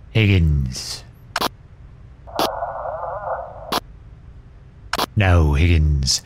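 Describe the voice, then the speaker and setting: A man playing a commanding, protective villain in a roleplay audio drama, formal and stern, with a husky, breathy voice. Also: sits low, background noise. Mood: stern, exasperated. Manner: formal, stern, halting when flustered. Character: protective, commanding male villain fretting over injured hero. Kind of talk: scripted roleplay audio drama in ASMR style.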